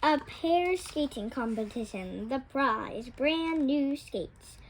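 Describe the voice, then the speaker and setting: A woman reading a children's picture book aloud with expressive, dramatic storytelling. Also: sits high, slow pace, slight background noise, poor audio. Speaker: storytime reader.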